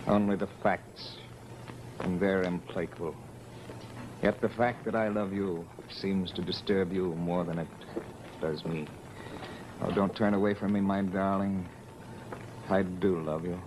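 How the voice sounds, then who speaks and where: Grave stage lover, very low voice, subdued theatrical monologue, noisy old broadcast. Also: male voice. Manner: solemn, subdued, underplayed. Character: grave, brooding stage actor rehearsing a lover's part.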